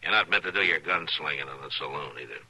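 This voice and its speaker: Deep, forceful Western marshal. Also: male voice, fast talker, gravelly, husky, exaggerated voice, low-quality recording. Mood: firm. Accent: American. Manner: firm, terse, dry. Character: steady, dry, authoritative US marshal. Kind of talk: 1950s radio western acting. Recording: old radio recording.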